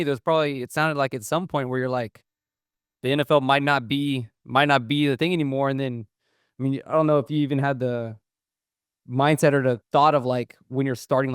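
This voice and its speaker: A man's voice: mid-range voice, smooth, no echo, clean studio sound. A man hosting an interview, asking loose, rambling questions full of fillers. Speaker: podcast host, interviewer.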